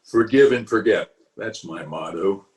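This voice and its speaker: A man's voice: fairly low pitch, a little mellow, lightly acted, rough recording. A man playing a pompous, blustering middle-aged uncle in an old-time radio comedy, drawing his words out indignantly. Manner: pompous, indignant, drawn-out delivery. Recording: remote home recording over video call.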